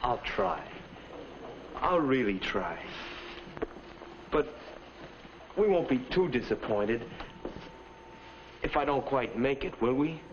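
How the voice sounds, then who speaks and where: A young man playing an anxious, earnest, polite high school boy in a 1950s instructional film, speaking deferentially. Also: animated, slow pace, pauses often. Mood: hesitant, hopeful. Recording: muffled, noisy 1950s film soundtrack.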